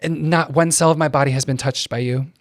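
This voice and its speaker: A man co-hosting a comedy podcast with a naturally low voice, trading deadpan jokes in casual chat. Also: a little fast, dry recording, clean studio sound. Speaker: podcast co-host.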